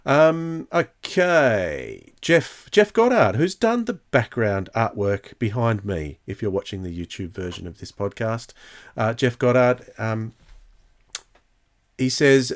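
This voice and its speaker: A man with a mid-pitched voice hosts a casual podcast. He speaks in a halting, hesitant way, moving between reading aloud and chatting off the cuff. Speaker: podcast host. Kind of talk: casual podcast talk, reading out listener comments.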